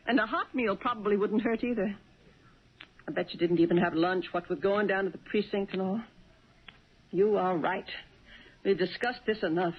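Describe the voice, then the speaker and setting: An actress playing a caring, insistent wife in a 1970s radio drama. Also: female voice, mid-range voice, close to an everyday voice. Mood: soothing. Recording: dull, noisy 1970s radio broadcast.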